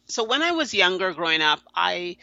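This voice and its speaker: A woman's voice: fairly low pitch, sing-song, vocal fry, sharp tone, dry recording, muffled recording. A woman from Guyana, a podcast guest, talking earnestly and often restarting her sentences. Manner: earnest, halting with many restarts. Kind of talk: conversational interview.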